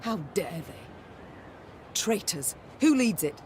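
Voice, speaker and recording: A woman's voice: medium pitch, sing-song, slow, darkish tone, slight background noise. A woman voicing a proud, defiant middle-aged warrior queen in a fantasy video game, speaking formally and regally. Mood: shocked, angry. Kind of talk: dramatic video game voice acting.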